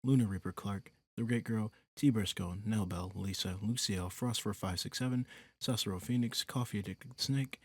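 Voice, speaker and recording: A man's voice: fairly low pitch, a little mellow, dry recording, clean studio sound. A man, the creator of audio roleplays, reading out a scripted outro of thanks and names.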